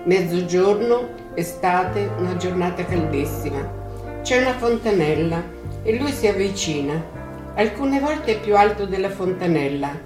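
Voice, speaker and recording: A woman reads in a low, slow voice, letting her words hang in long pauses. The room rings with echo and music plays clearly beneath her. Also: expressive. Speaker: reader. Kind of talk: dramatic reading over music. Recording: echoey room with music underneath.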